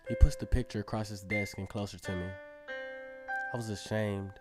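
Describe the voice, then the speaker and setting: A man's voice: on the low side, fairly even intonation, moderate pace, close to an everyday voice. An actor playing a cocky, dreamy teenage circus acrobat who narrates his own story in a casual, self-assured way for a radio drama. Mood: wistful, defensive. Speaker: narrator and lead character. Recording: music playing behind the voice. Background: instrumental music.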